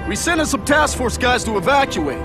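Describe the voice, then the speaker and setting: A harried official in a video game. His voice is strikingly high and urgent as he reports in short bursts. Music is clearly heard behind him. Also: sing-song, a little fast, exaggerated voice. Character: harried male official calling for backup. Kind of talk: video game dramatic acting.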